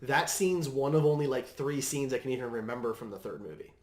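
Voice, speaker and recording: A man's voice: mid-range voice, animated, brisk. A man hosting a casual panel chat in an easygoing, agreeable way. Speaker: podcast host. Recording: roomy, echoing room.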